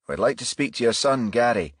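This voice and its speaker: A man voicing a calm, polite but firm police detective in audiobook dialogue, courteous and probing. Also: mid-range voice, fairly quick, husky, dry recording, voice pushed up.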